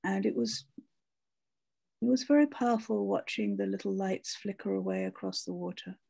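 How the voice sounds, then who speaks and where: A woman telling a personal memory in a measured, sombre way over a video call. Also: medium pitch, creaky, dark tone. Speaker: former member of a women's arts colony.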